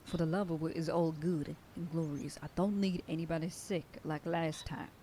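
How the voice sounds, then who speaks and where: A woman's voice: low-pitched, steady tone, moderate pace, warm tone, a little hiss or hum. A woman voicing a protective werewolf sheriff girlfriend in an ASMR roleplay, scolding and bossy. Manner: scolding, bossy then whispering tenderly. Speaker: voice actor.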